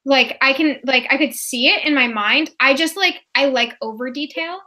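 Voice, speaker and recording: A woman's voice: high voice, moderate pace, bright, forceful tone. A woman co-hosting a casual book chat, speaking in an informal, rambling way. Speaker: co-host on a readalong live show.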